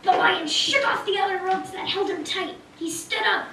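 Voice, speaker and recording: A woman's voice: extremely high pitch, normal speed, sharp tone. A woman narrating a scripted children's tale at a puppet show, heard from a distance in an echoey, noisy room. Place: community puppet show in a roomy venue.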